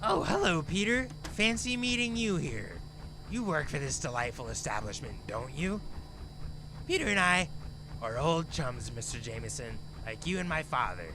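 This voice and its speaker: An actor playing a menacing, theatrical rich heir who swings between sweetness and mockery in an audio drama, recorded with amateur noise. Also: male voice, a little high, very animated, moderate pace. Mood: gleefully sinister. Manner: mocking, grandiose, theatrical.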